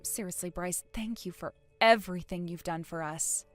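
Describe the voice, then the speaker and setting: Woman acting as protective mother, bright clear-cut tone, emotional, outspoken, earnest, melodramatic audio drama. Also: medium pitch, animated, normal speed, faint music behind, natural voice. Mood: tearful, grateful.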